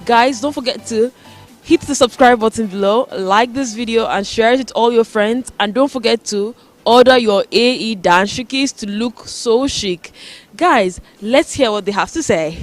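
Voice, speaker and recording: A woman's voice: a little high, normal speed, no echo, soft background music. A woman hosting a vlog, speaking upbeat and straight to her viewers from an outdoor city square in winter. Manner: upbeat, addresses viewers directly. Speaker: host. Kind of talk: vlog intro and street interviews.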